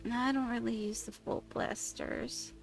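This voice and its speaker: A woman's voice: fairly high pitch, fairly even intonation, moderate pace, gravelly. A woman streaming a video game, giving casual commentary and thinking aloud as she plays. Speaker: gaming streamer. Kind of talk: casual let's play commentary. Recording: game music behind the voice.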